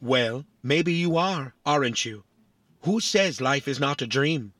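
An actor playing a small, vain, philosophical robot in a family audio drama, boastful and politely fussy. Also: male voice, medium pitch, lively intonation, slow pace, a bit bright, no room sound. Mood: vain, musing.

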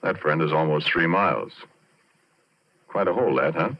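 Low-voiced folksy old roughneck. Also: male voice, normal speed. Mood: musing, ominous. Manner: colloquial, conversational, addressing the listener directly. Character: retired oil-field roughneck, folksy, weathered storyteller. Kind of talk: 1940s radio drama first-person narration. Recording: muffled vintage 1940s radio recording.

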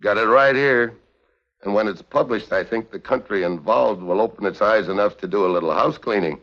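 An actor playing a tough, wry American secret agent in a 1950s television crime drama, with clipped, deadpan delivery. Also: male voice, on the low side, fairly quick, natural voice. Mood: businesslike, wry. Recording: dull, muffled 1950s television soundtrack.

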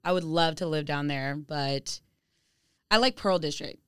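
A young American woman with a fairly low, smooth and resonant voice. She talks casually and conversationally, like a guest telling her story on a podcast, in a dry, close sound. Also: measured pace, a bit bright, no echo. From Phoenix, Arizona, United States. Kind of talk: casual chat, conversational interview.

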